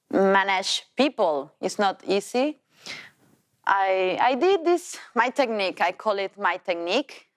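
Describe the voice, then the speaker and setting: Argentine lady, spanish speaker, tells her tango story slow n easy, smooth clear voice, trips over her english. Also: mid-range voice. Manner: non-native English, halting restarts, laughs while telling. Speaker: tango dancer and teacher. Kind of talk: personal storytelling.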